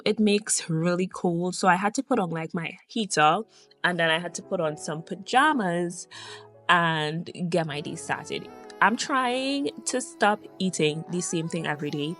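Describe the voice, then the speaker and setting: A woman's voice: lowish voice, expressive, unhurried, fairly bright tone, faint music behind. A woman with a Trinidadian accent, chatting casually as she narrates her day in a vlog, rambling from one topic to the next. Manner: chatty, digressive and upbeat. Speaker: vlogger.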